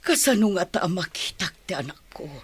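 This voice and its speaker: A woman's voice: fairly low pitch, very animated, brisk, slightly rough, no echo, full character voice. A woman with an Ilocano accent playing a worried mother searching for her daughter in a radio drama, plaintive but determined.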